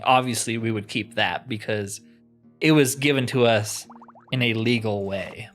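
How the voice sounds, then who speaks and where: Man, mid-range voice, chatty let's play host, informal, thinking out loud, music behind. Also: medium pitch. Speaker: gaming channel host. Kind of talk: casual let's play commentary.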